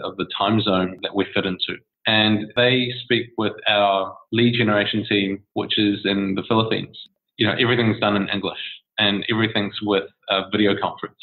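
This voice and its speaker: A man, a startup co-founder giving long, easygoing interview answers with frequent restarts. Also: on the low side. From New Zealand. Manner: relaxed and discursive, with many restarts and fillers. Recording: tinny phone-like line.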